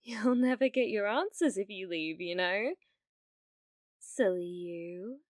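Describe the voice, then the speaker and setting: A British woman playing an obsessive, needy young female streamer in an ASMR roleplay, pleading and stammering, swinging between breathy whispers and outbursts. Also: mid-range voice, slow, no echo, studio quality, natural voice. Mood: sulky, teasing. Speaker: ASMR voice actress.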